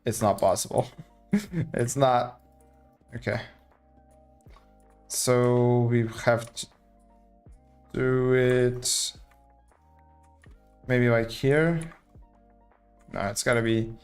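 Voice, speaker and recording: A man's voice: medium pitch, fairly even intonation, extremely slow, pauses often, clean tone, faint music behind. A young man from Portugal, casually thinking aloud as he live-streams a building game. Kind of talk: live gameplay commentary.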